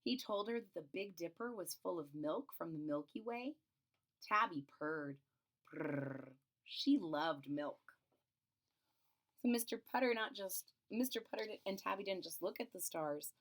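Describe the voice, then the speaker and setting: A woman's voice: on the low side, animated, unhurried. Woman reading a children's picture book aloud. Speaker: storybook reader and channel host.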